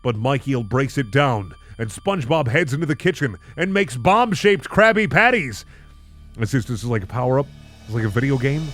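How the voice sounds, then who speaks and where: Guy reading bad fanfic for laughs, swoopy pitch, sarcastic asides, faint music behind. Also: big pitch swings, normal speed, fairly bright tone. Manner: incredulous, sardonic asides. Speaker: host reading bad fan fiction. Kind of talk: comedic narration with sarcastic commentary.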